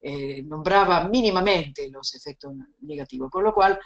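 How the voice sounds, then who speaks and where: A middle-aged woman from Italy with an Italian accent, giving a recorded lecture in a measured, reflective way with pauses and restarts. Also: deep voice. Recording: slightly dull lo-fi sound.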